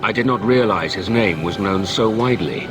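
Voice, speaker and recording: An actor playing a sober, guarded templar knight in a video game, speaking in measured, formal phrases. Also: male voice, on the low side, music in the background, natural voice. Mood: stern, guarded. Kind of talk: serious video game character acting.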